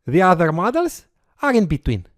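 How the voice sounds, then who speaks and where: An instructor explains step by step, pitch rising and falling a lot. The voice is dry and close, with no room sound. Now and then there is a short "uh" while the instructor works through each step. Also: wildly swooping pitch, moderate pace, dry recording. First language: Romanian. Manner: explanatory, walks through steps with occasional fillers. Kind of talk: recorded course lecture with screen demo.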